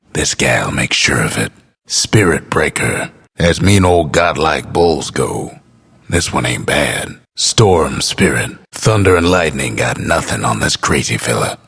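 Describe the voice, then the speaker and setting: An actor playing a wry, folksy, world-weary frontier narrator as a video game announcer, gravelly and drawling. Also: male voice, a bit bright, heavily acted. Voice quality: deep, gravelly, husky. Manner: laconic, drawling, dryly amused.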